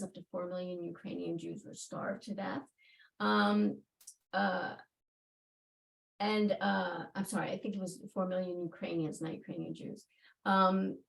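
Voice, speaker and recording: A woman's voice: mid-range voice, vocal fry, roomy sound, far from the mic, low-quality recording. A woman giving an online lecture in a conversational way, with frequent fillers and self-corrections, talking over a video call from a home office. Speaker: educator giving a webinar talk. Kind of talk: lecture reading oral-history excerpts aloud.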